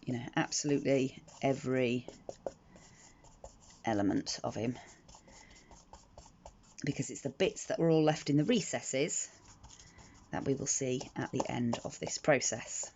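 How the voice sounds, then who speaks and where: A British woman with a croaky, gravelly morning voice talks through a home craft tutorial in a chatty, unhurried way, full of ums and restarts. The recording is a little dull and lo-fi, with a faint hiss underneath. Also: very deep voice, darkish tone. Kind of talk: casual step-by-step craft tutorial. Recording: slightly dull lo-fi audio with faint noise.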